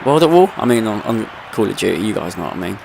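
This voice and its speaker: A man's voice: lowish voice, very animated, quick pace, gravelly, background noise. A young man with a slight cold, ranting in casual gameplay commentary and swearing freely. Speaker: gaming video host.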